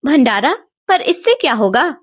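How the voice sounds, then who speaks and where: A woman's voice: high-pitched, sing-song, measured pace, dry recording, clean studio sound. A woman voicing a mild, supportive village wife in a storybook tale. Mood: questioning.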